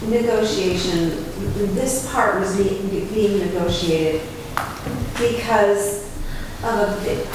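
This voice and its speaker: A woman's voice: medium pitch, slow. A woman resident at a town meeting, apologetic and unsure as she asks for clarification. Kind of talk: public comment. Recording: very echoey hall from far off, noise.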